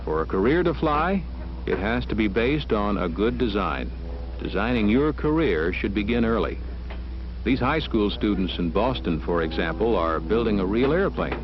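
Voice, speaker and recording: A man's voice: lowish voice, very animated, normal speed. A man reading scripted narration for an educational film. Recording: muffled old film soundtrack with music. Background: music.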